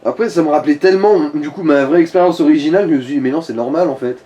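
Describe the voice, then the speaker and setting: A man's voice: fairly high pitch, rapid-fire, mellow, muffled recording. A man giving casual gaming commentary, explaining things with offhand crude wit, in an echoing room away from the mic. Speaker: let's play co-host. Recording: roomy echo, distant from the mic.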